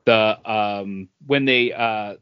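A man's voice: lowish voice, slow pace, smooth, no echo. Man in casual chat, dry muffled sound. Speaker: podcast co-host. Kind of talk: casual pop culture chat.

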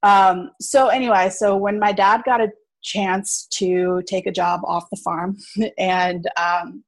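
A woman's voice: mid-range voice, steady tone, takes it slowly, no echo. A woman in her thirties, originally from South Africa, chatting in an interview with plenty of ums and light laughs. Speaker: farmer and innkeeper. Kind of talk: casual interview storytelling.

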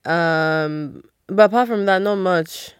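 A woman's voice: fairly low pitch, takes it slowly, clear, resonant tone, no echo, clean studio sound. A woman in her twenties co-hosting a podcast, chatting in an easygoing way with lots of fillers. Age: 20s. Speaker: podcast co-host. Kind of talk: casual chat.

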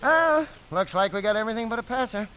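An actor playing a cautious, skeptical football assistant with a questioning manner in 1950s radio drama. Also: male voice, high voice, animated, fast, a little hiss or hum. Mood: doubtful. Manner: doubtful, questioning. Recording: dull old radio recording.

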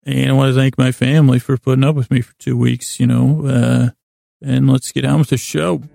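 A man hosting a bedtime podcast in a creaky voice, rambling in a lulling, halting, self-deprecating way. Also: mid-range voice, level tone, warm tone, no room sound, studio quality. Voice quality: creaky, gravelly. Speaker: podcast host. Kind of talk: rambling, meandering bedtime monologue.

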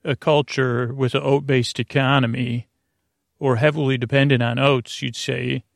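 Male bedtime podcast host, heavy vocal fry, dry sound, meandering delivery full of half-finished thoughts meant to lull. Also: mid-range voice, little pitch movement, moderate pace, dry recording. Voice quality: gravelly, creaky. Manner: meandering, hesitant, trailing off mid-sentence. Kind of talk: rambling bedtime monologue meant to lull listeners to sleep.

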